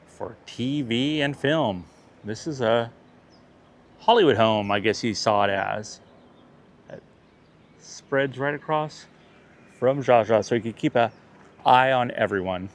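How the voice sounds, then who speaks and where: A man vlogging outdoors while touring a neighborhood, chatty and jokey, correcting himself as he goes. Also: fairly low pitch. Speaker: vlogger, tour guide. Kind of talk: casual touring commentary.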